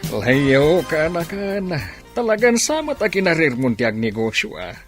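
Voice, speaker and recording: A man playing an easygoing, teasing uncle in an Ilocano radio drama, with exclamations and drawn-out words. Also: on the high side, very animated, faint music behind. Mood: persuasive.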